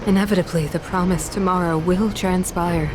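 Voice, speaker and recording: A woman's voice: lowish voice, fairly even intonation, moderate pace, natural voice. A woman playing an imperious, fanatical mother and ruler turned villain in a video game, speaking in grandiose, theatrical oratory. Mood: anguished, commanding. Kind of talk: dramatic video game voice acting. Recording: orchestral game music behind voice.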